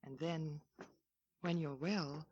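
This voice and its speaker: A gentle, reassuring young woman in an old radio play. Her voice is lowish and breathy, and she speaks softly and steadily. The recording is dull and lo-fi like a vintage broadcast. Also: steady tone, slow, exaggerated voice. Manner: soft, reassuring. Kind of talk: 1940s radio acting.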